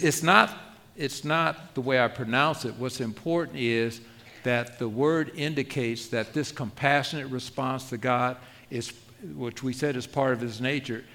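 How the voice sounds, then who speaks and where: A man's voice: medium pitch, a bit bright. A man preaching a sermon as pastor, conversational and self-deprecating, often asking the congregation rhetorical questions.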